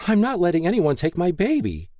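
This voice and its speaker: A man's voice: high voice, huge pitch swings, fairly quick, warm tone, no room sound, clean studio sound, voice pushed up. A man voicing a frightened, fiercely protective young mother in an audiobook. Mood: frightened, defiant. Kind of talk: audiobook character dialogue.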